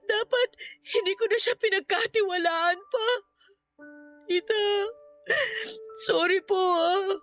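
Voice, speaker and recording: A woman's voice: extremely high pitch, slow, long pauses, fairly bright tone, faint music behind, exaggerated voice. A woman playing a young, remorseful niece in a radio drama, crying and pleading respectfully. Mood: tearful, remorseful. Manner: pleading, deferential. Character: tearful, remorseful young niece, grateful to her aunt. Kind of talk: Filipino radio drama acting.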